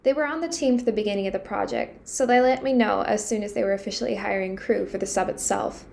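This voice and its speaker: A woman's voice: mid-range voice, fast talker, vocal fry, darkish tone, a little hiss or hum, close to an everyday voice. A woman acting an anxious, earnest submarine crew member in an amateur audio drama. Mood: worried.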